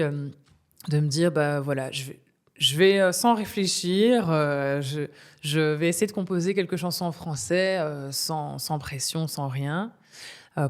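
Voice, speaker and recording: A young woman from Belgium with a low, full voice talks through her thoughts in an interview. She hesitates often, restarting sentences and filling gaps with small sounds. The talk is relaxed and unscripted. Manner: hesitant, many fillers and restarts, laughs often. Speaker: singer, interview guest. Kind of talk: casual interview conversation.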